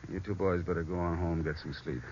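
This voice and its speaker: Old muffled radio cop show, guy doing bossy senior police officer, lowish voice, brisk and authoritative. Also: fairly even intonation, a little mellow, lightly acted. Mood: firm, weary. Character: gruff, commanding senior policeman directing his men. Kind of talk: 1950s radio drama acting. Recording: muffled, faintly noisy old radio recording.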